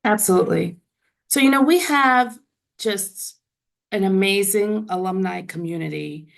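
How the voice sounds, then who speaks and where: A woman in her forties with a raspy voice, hoarse from laryngitis, answering interview questions in a measured way over a video call. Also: medium pitch. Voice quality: raspy, gravelly. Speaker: university trustee guest.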